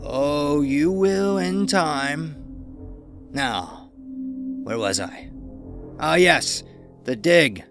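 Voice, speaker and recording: A smug bandaged old villain taunts with a raised, slow, drawn-out voice, as a male narrator puts him on. Every word drips with condescension. Soft music plays beneath the storybook reading. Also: fairly high pitch, expressive, pitched above the performer's own voice, heavily acted. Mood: menacing. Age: older. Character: sinister elderly bearded man, face bandaged, smug. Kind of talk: audiobook character voice.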